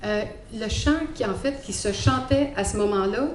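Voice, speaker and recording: Quebec French woman sharing a testimony, halting and thoughtful, mid-pitched, recorded in a reverberant hall. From Montreal, Quebec. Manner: hesitant, reflective, many fillers. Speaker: guest speaker giving a faith testimony. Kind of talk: personal testimony talk. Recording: echoey hall, faint background noise.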